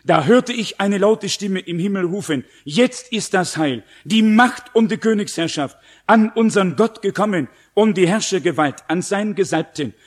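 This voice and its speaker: High-voiced man preaching. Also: lively intonation, moderate pace. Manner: emphatic, didactic, asks the listeners questions. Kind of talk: sermon with read-out scripture and teaching.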